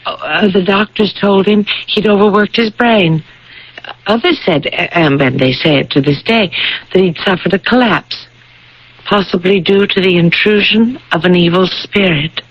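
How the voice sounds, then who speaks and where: An actress playing a thoughtful, bookish young woman, speaking with deliberate dramatic phrasing in a radio mystery play. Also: medium pitch, slightly rough, dry recording. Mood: earnest. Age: young adult. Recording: dull vintage radio broadcast.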